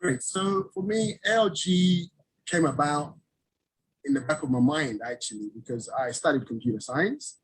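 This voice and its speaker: A middle-aged man from Ghana, a business owner talking casually in an interview over a video call, relaxed, often chuckling and restarting. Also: a little high, normal speed, husky, rough recording.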